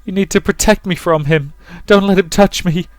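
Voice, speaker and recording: A man's voice: high-pitched, moderate pace, no room sound, voice pushed up, close to an everyday voice. A man voicing a frightened, unhinged young woman, lovesick and desperate, in a visual novel. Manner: desperate, manic.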